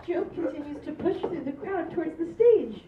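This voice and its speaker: A woman's voice: very high voice, expressive, moderate pace, a little hiss or hum. Woman reading stage directions aloud. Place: small stage with green backdrop. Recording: distant, roomy, dull audio.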